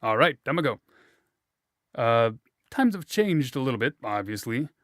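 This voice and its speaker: Man, interviewer, easygoing, playful questions, mid-pitched voice. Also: very animated, moderate pace, a bit bright, dry recording, studio quality. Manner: easygoing, joking, filler-heavy. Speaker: interviewer, host.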